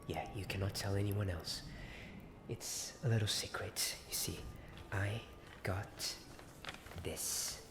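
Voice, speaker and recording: Noisy ambient backdrop, man acting as secretive magical-school companion, ASMR roleplay, lowish pitch, halting, hushed, confiding, friendly. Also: steady tone. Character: friendly, secretive Hogwarts companion eager for a ghost party. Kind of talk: ASMR roleplay, partly whispered. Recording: clearly noisy ambient background.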